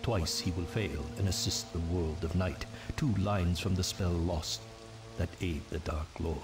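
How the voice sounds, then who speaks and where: An actor playing a solemn, portentous narrator of dark ancient legends in a video game, telling them as dramatic scripted storytelling. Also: male voice, sits low, a little slow, music in the background. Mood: grave, ominous.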